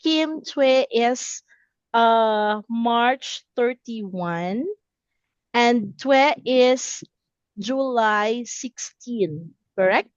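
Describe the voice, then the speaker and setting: Slow, high-voiced woman English teacher. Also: smooth, dry recording, dull audio. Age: 30s. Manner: instructional, repeats words and checks understanding. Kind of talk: simple, encouraging online language lesson.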